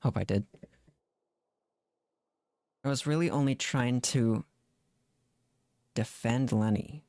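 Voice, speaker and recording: A man's voice: medium pitch, takes it slowly, a little mellow, dry recording, studio quality. A man streaming a game, chatting casually, musing aloud in questions and reading dialogue choices out. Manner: informal, muses aloud with questions.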